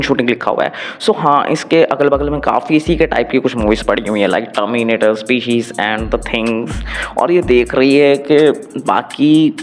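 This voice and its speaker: An Indian man tells a story recap in a casual, colloquial way, mixing in English phrases as he goes. His voice sits at an ordinary male pitch. Music plays underneath the voice-over. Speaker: manga recap narrator. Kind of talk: casual first-person story recap with jokes.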